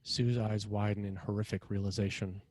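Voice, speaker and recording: A man's voice: lowish voice, fairly even intonation, moderate pace, a little mellow, no echo. A man reading screenplay action lines as narrator at a table read. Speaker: screenwriter narrating stage directions.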